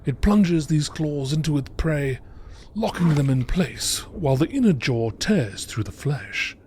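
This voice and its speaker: A man giving a measured, informative scripted narration in the style of a nature documentary. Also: fairly high pitch, lively intonation, darkish tone, slight background noise. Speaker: reader of video game text.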